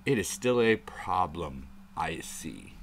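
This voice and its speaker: A deep-voiced man talks slowly on a live stream, thinking out loud as he goes. He rambles through his points and swears casually. A faint ambient hiss sits under his voice. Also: very animated, vocal fry. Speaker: show host.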